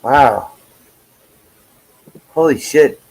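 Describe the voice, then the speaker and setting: A man's voice: medium pitch, animated, very slow, vocal fry, a little mellow, husky. Casual, cussing man in his forties. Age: 40s. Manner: loose and profane, greets chat viewers. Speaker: livestream host. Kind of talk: casual chat. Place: at home.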